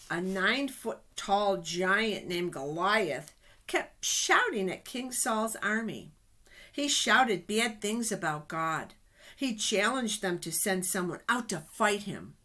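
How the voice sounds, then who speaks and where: Slow-paced woman storyteller. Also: medium pitch, a bit bright. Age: middle-aged. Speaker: children's storyteller at a church. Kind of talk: reading Bible stories aloud to children. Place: small office indoors. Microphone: webcam at desk distance.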